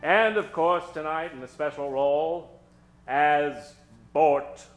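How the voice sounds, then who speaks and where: Slow, theatrical male radio show host. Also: a little high. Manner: theatrical, mock-ominous, dramatic pauses. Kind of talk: old-time radio horror spoof announcing and storytelling. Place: theater stage before an audience. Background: live keyboard music.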